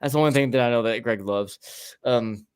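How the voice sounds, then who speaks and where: Guy co-hosting a paranormal-ish podcast, rambly, swears a lot, average pitch. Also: normal speed, clean tone. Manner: loose and rambling, swears casually. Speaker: podcast co-host. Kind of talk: casual chat.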